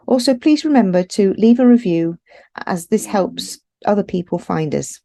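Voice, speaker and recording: A woman's voice: mid-range voice, lively intonation, moderate pace, creaky, warm tone. A woman hosting a podcast, reading a short intro and then encouraging her guest. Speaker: podcast host. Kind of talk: scripted podcast intro then interview chat.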